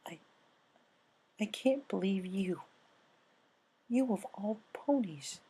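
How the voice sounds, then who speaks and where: A man's voice: sits high, expressive, slow, dark tone, slightly rough, voice pushed up, heavily acted. A man voicing a brash, headstrong young cartoon pegasus mare. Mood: hurt.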